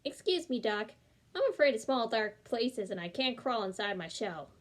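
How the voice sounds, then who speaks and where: A woman's voice: on the high side, big pitch swings, moderate pace. Shy little boy turtle, polite and pleading, light voice, a woman reading him. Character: shy young boy turtle, afraid of the dark.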